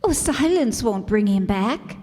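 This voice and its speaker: An actress playing a grieving, reclusive war widow in a radio drama, genteel and wistful in a measured, formal way. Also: female voice, mid-range voice, lively intonation, dark tone. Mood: wistful, sorrowful. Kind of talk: old-time radio drama acting. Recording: reverberant hall stage recording.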